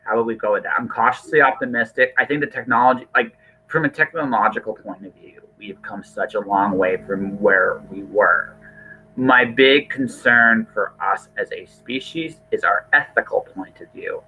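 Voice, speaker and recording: A man's voice: mid-range voice, pushed and bright, breathy edge. A man hosting a casual podcast conversation, often repeating and rephrasing himself as he thinks aloud. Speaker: podcast host. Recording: thin, band-limited phone-like call audio.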